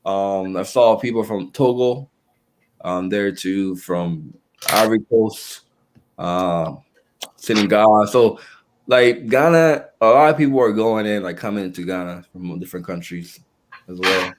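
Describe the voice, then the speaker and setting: Halting young man, lowish voice. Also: background noise. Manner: halting, with frequent restarts and fillers. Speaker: guest. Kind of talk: casual conversational interview.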